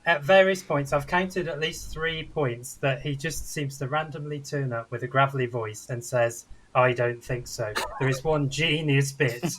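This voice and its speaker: A man's voice: on the high side, background noise. A man chatting casually and enthusiastically as a co-host reviewing films, in a rambling way. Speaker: podcast co-host and film reviewer. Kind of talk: casual film review chat.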